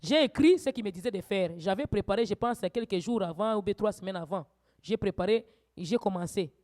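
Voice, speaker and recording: A woman's voice: medium pitch, brisk. Woman preacher exhorting a congregation. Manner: preaching with rising, shouted exhortations and quieter asides. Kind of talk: sermon teaching. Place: church.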